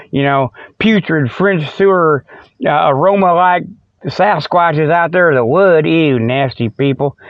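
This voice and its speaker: A man's voice: fairly high pitch, dry recording. A middle-aged American man hosting a podcast, delivering a folksy, colloquial, rambling comedic monologue as casual chat. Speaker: podcast host. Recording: slightly dull lo-fi, dry sound.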